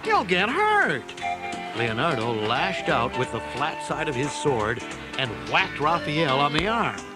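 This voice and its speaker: Animated, unhurried male children's storybook narrator. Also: medium pitch. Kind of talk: dramatic scripted children's narration. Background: loud music.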